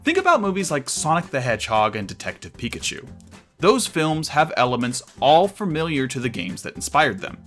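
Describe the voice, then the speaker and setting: A man delivering scripted commentary as a video reviewer, in a measured, articulate way with emphatic phrasing. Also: fairly high pitch, huge pitch swings, fairly bright tone, faint music behind.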